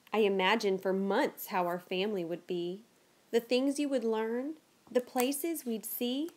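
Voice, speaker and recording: A woman in her thirties hosting a children's read-aloud, speaking expressively to young kids as she presents and reads picture books. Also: a little high. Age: 30s. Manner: animated, exaggerated expression for kids.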